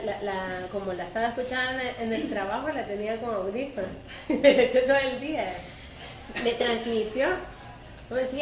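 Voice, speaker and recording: Hesitant, high-voiced woman in a noisy, echoey room. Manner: hesitant, rambling, self-correcting. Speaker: audience member. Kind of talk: informal spoken comment at a debate. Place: echoey room at a public debate. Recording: echoey room with clear noise.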